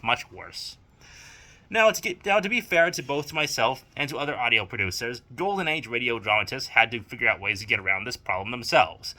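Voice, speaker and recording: Male essay narrator, mid pitch, strikingly bright and tense tone, emphatic, opinionated scripted delivery with formal wording. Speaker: audio drama writer and producer presenting a video.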